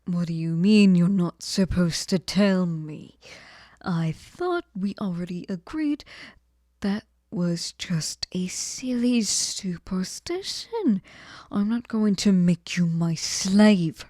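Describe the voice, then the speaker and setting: Female actor as possessive fairy, sugary and clingy, warm dark tone, very slow delivery, breathy whispers, intimate ASMR roleplay. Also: lowish voice, lively intonation, dry recording, clean studio sound. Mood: playful, pressing. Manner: breathy cooing, often dropping into whispers, coaxing. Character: sweet, clingy, possessive female fairy hostess, lonely and obsessive. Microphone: close microphone, dry sound.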